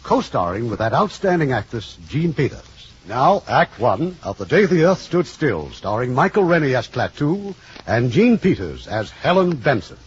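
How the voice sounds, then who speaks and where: A man with a husky voice giving a formal, scripted introduction as host of a 1950s radio drama show. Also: medium pitch, sing-song, moderate pace, slight background noise. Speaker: host and producer. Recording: dull old radio recording.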